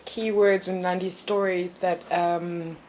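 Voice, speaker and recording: A woman's voice: on the low side, flat delivery, takes it slowly, a little mellow, a little hiss or hum, rough recording. Frank woman in her thirties. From South Africa. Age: 30s. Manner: frank, with a lot of ums and likes. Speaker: panel guest. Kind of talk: casual discussion.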